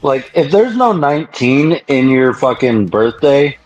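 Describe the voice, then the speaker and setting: A man with a rough, husky voice chatting casually and profanely as a podcast panelist. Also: mid-range voice, sing-song, unhurried, a little mellow, muffled recording, soft background music.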